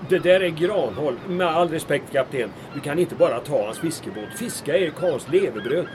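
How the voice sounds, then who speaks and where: A man's voice: on the high side, expressive, lightly acted. Man as an exasperated mayor, noisy outdoors. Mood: protesting. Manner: formal, protesting, weary authority. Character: exasperated, diplomatic Swedish small-town mayor. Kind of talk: comic audio drama acting.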